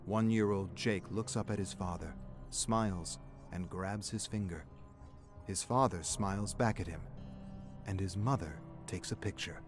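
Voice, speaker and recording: An actor narrating a dramatic story in a solemn, measured way as a storyteller. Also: male voice, low-pitched. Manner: solemn, measured storytelling that also voices the characters' dialogue. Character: solemn storyteller narrating a young man's troubled memories. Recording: background music under the narration.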